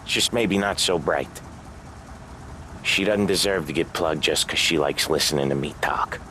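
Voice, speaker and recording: An actor playing a sardonic, weary 1930s American mobster in a video game, with dry, slangy delivery. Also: male voice, a bass voice, a little slow, pushed and bright, slight background noise, exaggerated voice, low-quality recording. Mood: low and serious. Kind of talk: cinematic video game dialogue.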